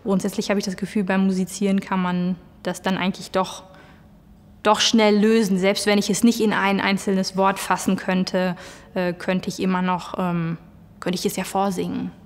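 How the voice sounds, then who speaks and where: A woman's voice: medium pitch, little pitch movement, moderate pace, in a large hall. A woman music student, answering interview questions in her native German in an articulate, reflective way.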